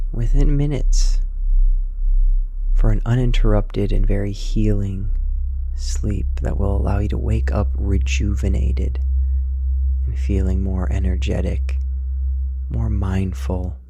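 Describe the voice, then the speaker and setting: A man narrating a guided sleep meditation in a soft, soothing, unhurried voice with long pauses. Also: on the low side, flat delivery, slight background noise. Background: meditation music with singing bowls.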